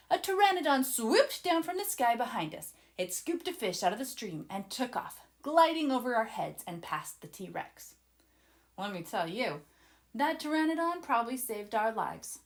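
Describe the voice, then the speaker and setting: A woman voicing an excited, adventurous young boy who eagerly and dramatically narrates a children's storybook to his listeners. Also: on the high side. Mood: dramatic suspense. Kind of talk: children's storybook read-aloud.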